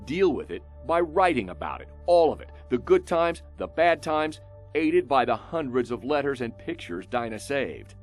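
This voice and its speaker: An American male reporter tells a human-interest story in scripted, dramatic fashion. His pitch moves in lively sweeps through short, emphatic phrases and pauses. Music plays softly under his voice. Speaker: news reporter narrating a feature story.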